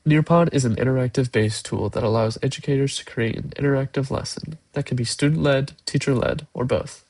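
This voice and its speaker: Young man, stuffy congested nose, hesitant with many fillers, mid pitch, dry close sound. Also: a little mellow, husky, dry recording. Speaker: education student presenting a lesson. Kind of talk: screen-recorded lesson walkthrough. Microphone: computer mic, voice-over on screen recording.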